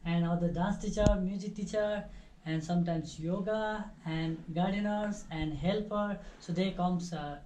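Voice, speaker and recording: A woman in her early twenties from Nepal with a Nepali accent, explaining things to visitors informally in halting, non-native English. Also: on the low side, dark tone. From Nepal, near the Indian border. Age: early 20s. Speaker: orphanage staff member. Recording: noisy amateur video sound.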